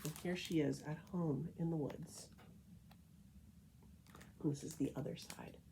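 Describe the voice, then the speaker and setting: A woman reading a children's picture book aloud as a storyteller, with expressive, deliberate emphasis on key phrases. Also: deep voice, vocal fry, slight background noise, poor audio.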